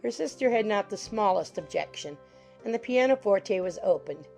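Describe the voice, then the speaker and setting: A woman's voice: medium pitch, lively intonation, moderate pace, creaky, darkish tone. A woman narrating a scripted, dramatized audiobook of a classic novel. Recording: music bed under the voice.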